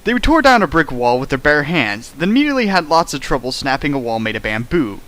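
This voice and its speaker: A man's voice: on the high side, very animated, quick pace, a bit bright. A man reading a fan fic aloud for a stream, breaking off into sarcastic comments and sudden sing-song bits. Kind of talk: comedic dramatic reading with riffing.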